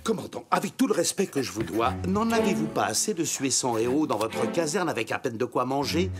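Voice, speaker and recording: A man's voice: medium pitch, very animated, a little fast, natural voice. A man playing a greedy, suave villain landowner in a cartoon, insinuating and grandiose. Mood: persuasive, scheming. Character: smooth-talking, greedy male villain landowner and ex-seafarer. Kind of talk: French cartoon dub acting. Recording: loud music behind the voice.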